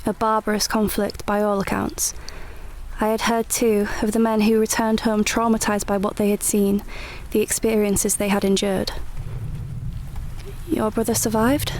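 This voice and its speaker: An actress playing a guarded, independent young Edwardian woman, narrating a radio play in a measured, intimate, literary way. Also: medium pitch, little pitch movement, a little mellow, slight background noise, natural voice. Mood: concerned, curious. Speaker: narrator and lead actor. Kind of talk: scripted first-person radio play narration.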